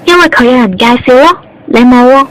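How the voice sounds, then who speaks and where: A woman's voice: high-pitched, fairly quick, fairly bright tone, low-quality recording. A woman playing a formal, polite office interviewer in an amateur radio drama, with a Hong Kong Cantonese accent. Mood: official, decisive. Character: formal female office interviewer, later a hostage.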